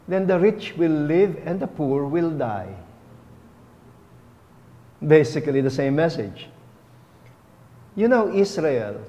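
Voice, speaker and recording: Man, middle-aged, homily, deliberate teaching tone, lapel mic, reverberant chapel. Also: high-pitched, a little mellow. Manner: slow, deliberate, teaching tone with rising emphasis. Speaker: bishop giving a homily. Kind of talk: sermon. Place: chapel, indoors, at a lectern.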